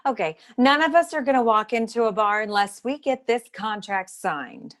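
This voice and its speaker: A woman's voice: fairly high pitch, lively intonation, natural voice. An actress playing a blunt, no-nonsense woman who works in a detective's office, in a noir comedy radio play. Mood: exasperated. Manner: brisk, blunt. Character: exasperated, no-nonsense female office associate of a detective. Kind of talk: comic noir radio acting.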